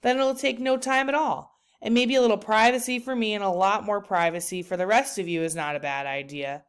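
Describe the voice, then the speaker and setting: A kindly, handy old grandfather from a storybook as a woman reads him aloud. The voice is clear and smooth, and he talks in a folksy, easygoing way, gently coaxing with steady optimism. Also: mid-range voice, a little fast, close to an everyday voice. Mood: persuasive.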